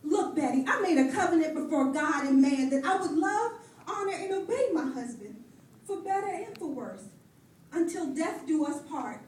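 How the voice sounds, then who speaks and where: A woman's voice: high voice, lively intonation, normal speed, darkish tone. A woman acting in a stage play as a devoted, battered pastor's wife who earnestly defends her husband. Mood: earnest, insistent. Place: stage in a roomy hall. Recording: echoey hall from far off, light noise.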